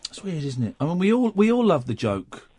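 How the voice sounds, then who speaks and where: Male radio host, rambling and stammering, lively and up-and-down, slightly high voice. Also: brisk, husky, dry recording. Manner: rambling, stammering restarts, musing questions. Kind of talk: casual radio chat.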